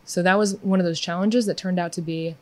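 A woman's voice: lowish voice, steady tone, fairly quick. A young adult woman in casual conversation, chatty and upbeat, peppering her talk with "like" and "yeah".

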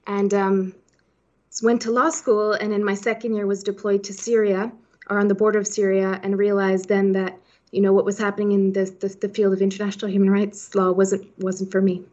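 A woman in her thirties talks as an interview guest in a conversational, chatty way, padding sentences with "you know". Her pitch is average, and the sound is somewhat dull and lo-fi. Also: level tone, brisk, darkish tone. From Switzerland-born, raised in Hong Kong. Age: 30s. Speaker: podcast guest, startup founder.

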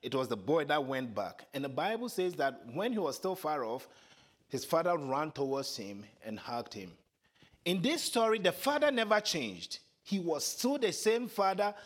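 A man whose first language is Twi, preaching an earnest sermon that builds to emphatic high points.

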